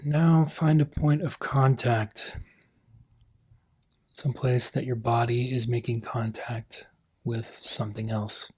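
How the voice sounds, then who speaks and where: A man leading a guided meditation as a coach, speaking gently in unhurried phrases that trail off softly. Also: medium pitch, level tone, slightly rough.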